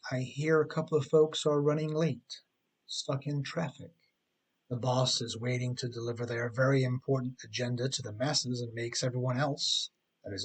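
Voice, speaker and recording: A man's voice: medium pitch, breathy edge. A middle-aged man reading his own humorous advice book as scripted narration, with an emphatic, tongue-in-cheek delivery.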